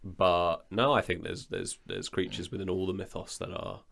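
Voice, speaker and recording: Man, deep low voice, casual chat, hesitant phrasing, wry humour. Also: flat delivery, moderate pace, creaky, a bit bright. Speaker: audio drama creator in conversation.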